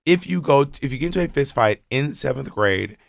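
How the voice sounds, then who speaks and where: A man's voice: mid-range voice, lively intonation, brisk, vocal fry, no echo, studio quality. Man telling stories on a podcast. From Georgia, US. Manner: loose, rambling storytelling with frequent restarts and slang. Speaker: podcast co-host. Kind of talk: casual podcast chat.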